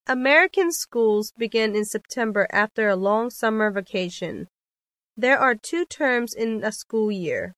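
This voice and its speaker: A woman reads scripted exam dialogues in a clear, careful textbook manner. Her voice sits a little high, and the recording is dry and close-miked. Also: lively intonation, a little slow, a bit bright, no echo. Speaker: dialogue reader on a listening test.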